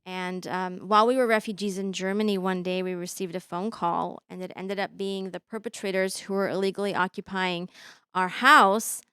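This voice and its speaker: A woman in her thirties, born in Bosnia with Bosnian as her first language, telling her story as an interview guest with many pauses and fillers. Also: mid-range voice, steady tone, sharp tone, clear, resonant tone, no echo, studio quality. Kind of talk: conversational interview.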